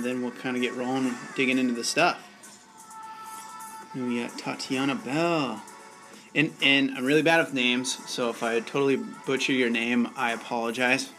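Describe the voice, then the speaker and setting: Laid-back chatty guy streaming. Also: medium pitch. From United States. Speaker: bartending channel host. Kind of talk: casual live-stream chat.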